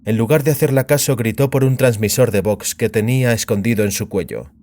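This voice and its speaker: A man's voice: medium pitch, brisk, darkish tone. A man giving a dramatic narration of scripted fiction as an audiobook narrator.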